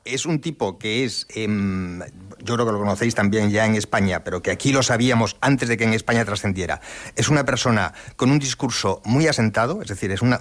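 Reflective man giving conversational analysis. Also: on the low side. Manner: reflective, explanatory, often rephrasing himself. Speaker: correspondent on French news and sport.

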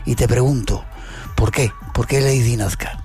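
An eager male host leads the interview with an upbeat presenter's delivery. His voice sits at an ordinary pitch. Faint music plays behind him. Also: steady tone, normal speed, warm tone. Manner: enthusiastic, presenter-like. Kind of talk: radio or TV interview.

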